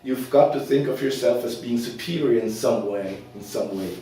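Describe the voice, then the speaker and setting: A man's voice: medium pitch, moderate pace, mellow, voice pushed down, close to an everyday voice. A man performing a monologue as a chatty, self-assured young working man full of eager advice and ambition. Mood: earnest advising. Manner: eager, talkative, advising. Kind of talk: theatrical monologue. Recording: very echoey room, distant mic.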